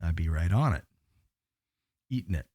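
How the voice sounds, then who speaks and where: A man guesting on a bar podcast, telling rambling stories with a naturally low voice and many fillers. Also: animated, normal speed, darkish tone, no echo, studio quality. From Pacific Northwest US. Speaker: guest singer. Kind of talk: casual podcast chat.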